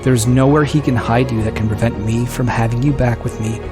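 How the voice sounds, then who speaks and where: A man's voice: medium pitch, steady tone, brisk, lightly acted. A man playing a desperate fiancé making an intense, passionate public plea in an audio drama. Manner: intense, impassioned. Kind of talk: dramatic news-clip speech. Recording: loud music over the voice. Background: loud background music.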